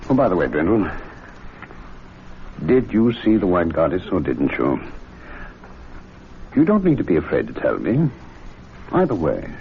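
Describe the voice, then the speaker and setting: An actor playing a measured, wry gentleman club member in a radio drama, dry and articulate. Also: sits low, wildly swooping pitch, exaggerated voice. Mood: composed, concerned. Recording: dull, noisy vintage radio recording.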